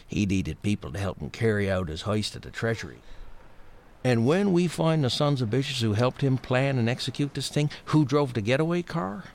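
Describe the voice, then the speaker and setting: A man's voice: medium pitch, sing-song, normal speed, darkish tone. An actor playing a foul-mouthed, menacing, self-important male senior government official in a satirical audio drama, with a blustering, folksy, bullying manner.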